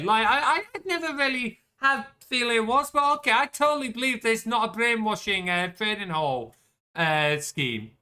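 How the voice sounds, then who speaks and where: A man's voice: high-pitched, pushed and bright. A young man chatting casually while he streams a game, self-mocking and swearing when things go wrong. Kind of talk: casual gameplay commentary.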